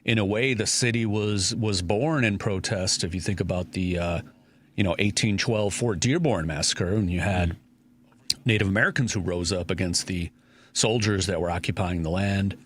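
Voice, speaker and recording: A man's voice: deep voice, normal speed. A man hosting a talk radio show, chatting loosely with many fillers and restarts. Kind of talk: casual talk radio discussion.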